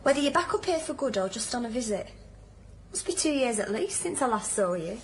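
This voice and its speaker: An actress playing a down-to-earth hospital nurse in a radio drama, with a Northern English accent and a blunt, teasing, colloquial way of talking. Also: female voice, fairly high pitch, very animated, brisk, a little hiss or hum. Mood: curious. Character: down-to-earth hospital nurse, teasing, plain-spoken old friend. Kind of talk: naturalistic radio drama dialogue.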